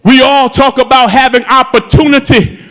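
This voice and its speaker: A man's voice: extremely high pitch, fairly even intonation, moderate pace, fairly bright tone. A man in his fifties preaching a sermon as pastor, calling out to his congregation with fervor. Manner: impassioned preaching, calls out to the congregation. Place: church sanctuary. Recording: long hall reverb in a church.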